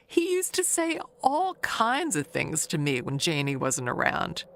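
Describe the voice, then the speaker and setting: Actress as slow, gossipy neighbor woman, very expressive. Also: low voice, creaky, full character voice. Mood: coy, flattered. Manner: gossipy, confiding, halting with pauses. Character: chatty, nosy older woman neighbor gossiping with sly wistfulness. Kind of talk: dramatic monologue in an audio mystery tale.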